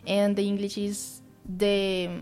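Young colombian woman, spanish accent, slow and flat, keeps restarting and hunting for words, faint music behind. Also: medium pitch. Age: young adult. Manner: halting, searching for words, many restarts. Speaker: university student guest. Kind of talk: interview answers in a second language.

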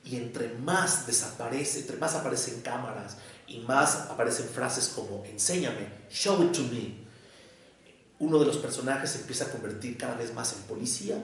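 A man with a Mexican accent giving a prepared lecture in a deliberate, didactic way, with emphatic pauses. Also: medium pitch, animated, gravelly. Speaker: lecturer on psychoanalysis. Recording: echoey room, distant microphone.

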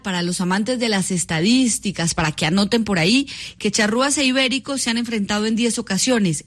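A woman sports correspondent delivers a radio report in a brisk, informative manner. Her voice sits a little high for a woman.

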